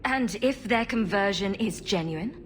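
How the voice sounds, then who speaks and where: A woman playing a calm, diplomatic adventurer heroine in a video game, level and inquiring in manner. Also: medium pitch, a little slow, a little hiss or hum, natural voice. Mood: calm, questioning.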